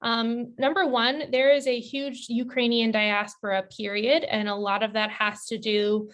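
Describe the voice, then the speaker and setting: A woman answering audience questions as a presenter, in a measured, explanatory, careful way with hedges. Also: on the high side, little pitch movement, fairly bright tone. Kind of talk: informative Q&A talk, semi-formal.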